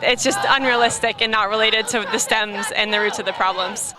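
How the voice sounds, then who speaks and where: A young woman protester outdoors arguing in a clear, reasoned way. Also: mid-range voice, steady tone, brisk, crowd noise, noisy background. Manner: articulate, reasoned, says "like".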